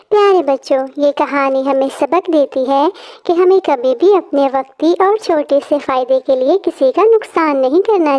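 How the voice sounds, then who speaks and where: A woman's voice: sits high, a little mellow. A woman narrating a scripted children's story as the storyteller.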